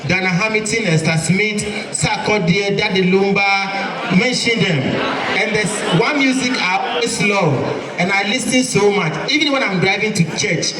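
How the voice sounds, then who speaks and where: A man with a Ghanaian accent addressing students at a school assembly in a lively, preachy call-and-response style. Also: high-pitched, normal speed. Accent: Ghanaian English. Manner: lively, preachy, draws the crowd into answers. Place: large hall full of students. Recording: echoey hall from far off. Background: crowd of students.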